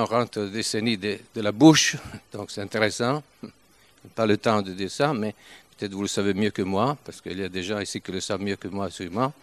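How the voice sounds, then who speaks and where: Older Italian-accented man, lowish voice, dry close sound, halting informal preacher. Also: no room sound. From Italy. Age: 70s. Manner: halting, with frequent restarts and pauses. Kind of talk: informal sermon.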